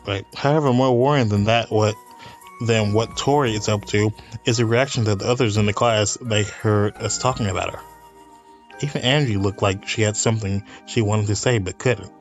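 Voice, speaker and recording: Man reading a game aloud over music. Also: lowish voice. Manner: halting, stumbling reader with amused asides. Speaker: let's play streamer. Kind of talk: casual read-aloud commentary of a visual novel. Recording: music playing behind the voice.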